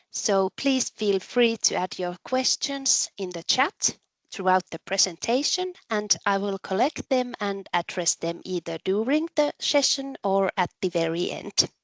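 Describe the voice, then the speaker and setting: A woman hosting a webinar, introducing a guest speaker in a measured, carefully read way with occasional ums. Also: medium pitch, no echo, low-quality recording. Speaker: webinar host, moderator. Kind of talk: formal introduction.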